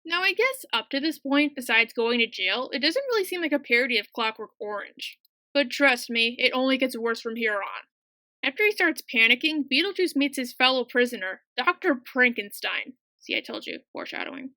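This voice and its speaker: A woman's voice: high voice, lively intonation, moderate pace, bright, forceful tone, no echo. A young woman narrating a casual scripted video review, chatty and full of wry asides.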